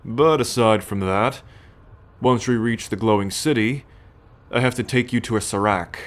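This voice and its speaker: A man playing a possessive, haughty alien soldier in an ASMR roleplay, speaking in a measured, imperious way with musing hums and sighs. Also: mid-range voice, natural voice. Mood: proud, musing. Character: possessive male alien soldier, haughty, teasing, coolly menacing captor. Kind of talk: ASMR roleplay acting, addressed to the listener.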